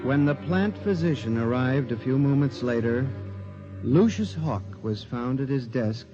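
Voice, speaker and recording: A man's voice: medium pitch, expressive, measured pace, music in the background, lightly acted. Guy playing frantic haunted clerk, 50s radio melodrama, voice all over the place, dull old recording. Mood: grim. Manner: intense, melodramatic, agitated. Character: frantic, tormented male clerk narrating his nightmare. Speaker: lead actor and narrator. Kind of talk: 1950s radio drama acting.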